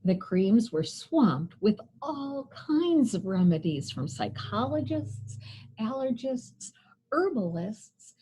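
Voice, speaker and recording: A woman reading a picture book aloud to children as a storyteller, with expressive, deliberate phrasing. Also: medium pitch, husky, rough recording.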